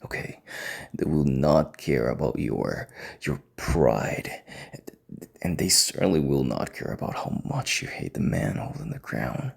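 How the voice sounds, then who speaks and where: A man playing a proud, stubborn king in an intimate audio drama, commanding, with breathy, gravelly half-whispers. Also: very deep voice, expressive, unhurried, full character voice. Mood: stern. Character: proud, stubborn, commanding young king, bitter rival husband.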